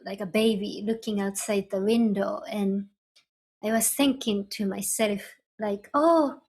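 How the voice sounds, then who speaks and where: A woman from Japan, a Japanese native speaker with a Japanese accent, talking thoughtfully as a podcast guest, with pauses and restarts. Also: medium pitch, fairly even intonation, darkish tone, slightly rough. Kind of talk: podcast interview.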